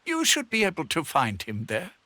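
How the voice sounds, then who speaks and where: An actor playing a helpful, kindly village head in an anime game, chatting in a measured, easygoing way. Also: mid-range voice, huge pitch swings, very noisy. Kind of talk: English dubbed anime game acting.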